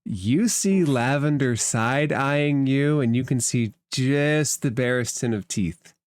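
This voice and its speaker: A man's voice: mid-range voice, takes it slowly, no room sound. A man running a tabletop role-playing game as the game master.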